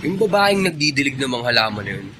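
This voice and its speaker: A man's voice: mid-range voice, sing-song, fast, over music, lightly acted. A man playing a casual, sceptical, scheming accomplice in a Filipino radio drama. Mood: sceptical, plotting.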